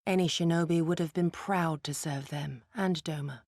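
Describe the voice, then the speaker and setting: A woman playing an earnest, dutiful ninja resistance fighter in a video game, speaking in a solemn, measured and formal way. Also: sits low, fairly even intonation, a little mellow, no room sound, studio quality. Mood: solemn, wistful. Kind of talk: dramatic video game acting.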